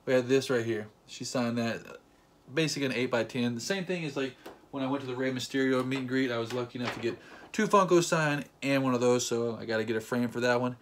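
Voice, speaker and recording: A young man chats to the camera in a casual vlog, playful and quick to joke. His voice sits at an ordinary middle pitch, and he slips into little acted quotes as he retells the story. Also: noisy background. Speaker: vlogger.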